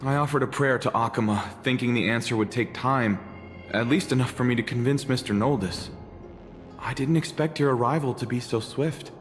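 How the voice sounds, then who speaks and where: An earnest, humble priest from a fantasy game, played by a man, speaks formally and politely as a weary refugee. The voice sits at a middle pitch in a long reverb, with faint music underneath. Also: moderate pace, lightly acted. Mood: sorrowful. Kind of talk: video game voice acting.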